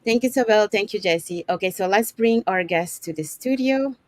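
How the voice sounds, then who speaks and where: A woman's voice: medium pitch, brisk, no echo. A woman from Panama hosts an online talk show, introducing guests and panelists with many "um" fillers. Her voice sits in the middle range and sounds close and dry, with no room around it. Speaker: host. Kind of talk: casual online show hosting.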